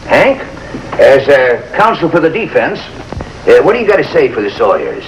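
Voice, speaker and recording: An actor with an American accent playing a folksy, easygoing small-town judge in a 1950s television drama. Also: male voice, medium pitch, big pitch swings, normal speed. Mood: brisk, folksy. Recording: faintly noisy old TV soundtrack.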